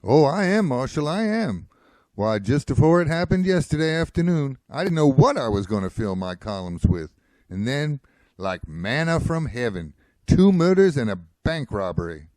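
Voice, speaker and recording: A man's voice: mid-range voice, very animated, moderate pace, darkish tone, no echo, close to an everyday voice. An actor playing an eager, boastful small-town newspaperman with a folksy, gushing manner in a radio western. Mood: gleeful. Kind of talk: old-time radio western acting.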